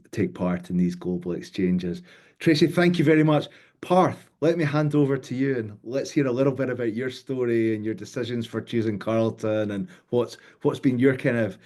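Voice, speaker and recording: A man's voice: lowish voice, dark tone. Man moderating an online student panel. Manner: welcoming, structured, prompting guests with questions. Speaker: webinar moderator. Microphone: video call.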